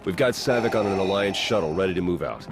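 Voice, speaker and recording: A matter-of-fact military officer with a low voice. It is video game acting, with loud music behind him. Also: male voice, animated, fast talker. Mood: reporting.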